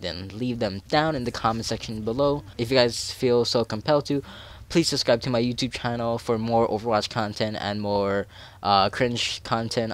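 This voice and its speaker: A young man with a nasal, stuffy voice from a cold, explaining game mechanics in a tutorial voice-over and rambling in self-deprecating asides. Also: on the low side. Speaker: game guide creator.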